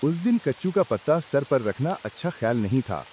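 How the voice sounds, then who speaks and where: A man's voice: medium pitch, sing-song, normal speed, a little mellow, lightly acted. Comic cartoon husband, acted by a man: hapless young villager, swooping intonation, faint rain and hiss. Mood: aggrieved. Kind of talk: comic cartoon story dialogue.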